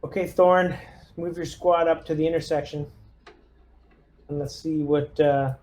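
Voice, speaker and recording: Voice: medium pitch, steady tone, measured pace, a little mellow. A tabletop roleplaying player talking casually through game moves and orders to the squad. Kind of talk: casual gaming chat with in-character orders.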